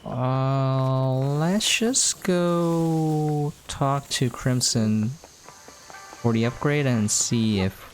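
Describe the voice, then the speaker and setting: A man's voice: medium pitch, very slow, faint music behind. A man giving casual game commentary as a video host, in a laid-back, rambling way. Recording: clear background noise.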